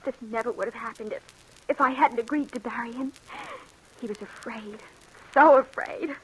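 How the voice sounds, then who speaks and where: An actress playing an anxious, tender young bride, pleading melodramatically in old radio drama style. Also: female voice, on the high side, normal speed, breathy edge. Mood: grieving. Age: young adult. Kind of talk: 1940s radio acting. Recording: faintly noisy old radio recording.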